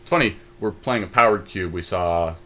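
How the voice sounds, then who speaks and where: A man co-hosting a podcast, casually thinking aloud about building a deck, with many pauses and fillers. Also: deep voice, a bit bright, a little hiss or hum. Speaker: podcast co-host. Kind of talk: casual chat about deckbuilding.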